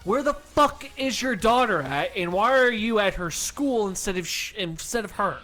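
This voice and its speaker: A man with a bright, fairly high voice, co-hosting a radio comedy show from the studio. He tells stories in loose banter, swearing freely and getting animated. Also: moderate pace, faint music behind. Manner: profane, animated storytelling.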